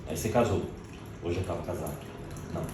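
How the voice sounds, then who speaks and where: A woman's voice: a bass voice, fast talker, far from the mic, very noisy. A Brazilian woman hosting a casual interview, interjecting attentively with questions and short reactions. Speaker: podcast host. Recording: very echoey room.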